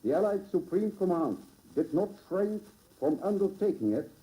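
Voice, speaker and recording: A man from the Netherlands with a Dutch accent reads a formal, solemn ceremonial speech in measured, oratorical phrases, on an old radio recording. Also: sits high, lively intonation, dark tone. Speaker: local dignitary giving a dedication address. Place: public ceremony at a monument dedication. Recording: old muffled 1950s radio broadcast recording.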